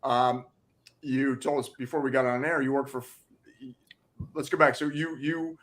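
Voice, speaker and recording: A man's voice: medium pitch, little pitch movement, moderate pace. A man hosting a fitness talk show who reacts with eager enthusiasm and keeps the conversation moving. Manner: enthusiastic, effusive interjections. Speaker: host. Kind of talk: casual chat.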